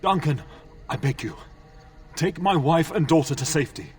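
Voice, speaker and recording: An actor playing a wounded, dying nobleman father, tender and resolute, with halting, pained delivery in a fantasy game. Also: fairly high pitch, expressive, a little mellow, recorded from a distance. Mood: pleading, resigned.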